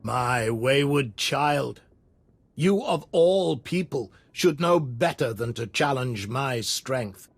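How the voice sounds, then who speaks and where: A domineering dark lord in a video game, mid-pitched and very slow. He is cold, paternal and haughty, weighing each word. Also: expressive, husky, no room sound, exaggerated voice. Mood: haughty reproach. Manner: measured, haughty. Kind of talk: dramatic video game acting.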